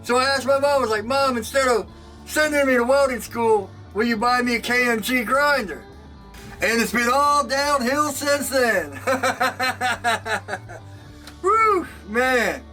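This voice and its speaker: A man talking casually to his camera about knifemaking, loud and excitable, laughing often and projecting because he can't talk softly. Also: extremely high pitch, slow pace, recorded from a distance, faint music behind, rough recording. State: sore throat. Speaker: knifemaker and channel host. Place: home workshop.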